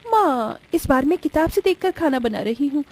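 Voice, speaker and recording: A woman's voice: high-pitched, expressive, moderate pace, a little mellow. A woman voicing an apologetic, easily hurt young daughter in a cartoon story, earnest and pleading. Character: apologetic, hurt 25-year-old daughter, eager cook.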